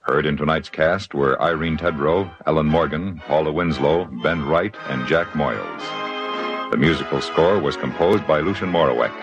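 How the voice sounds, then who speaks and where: A man making dramatic, deliberate scripted announcements in 1950s radio suspense style. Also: rumbling low, highs cut off. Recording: vintage radio recording with clear music.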